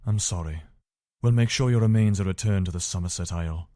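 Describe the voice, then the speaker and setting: A man's voice: sits low, fairly quick, mellow, dry recording, studio quality, close to an everyday voice. A man playing a dutiful, weary but decent imperial soldier in a fantasy video game, speaking earnestly in short, commanding phrases. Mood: solemn, regretful. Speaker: voice actor for a game mod.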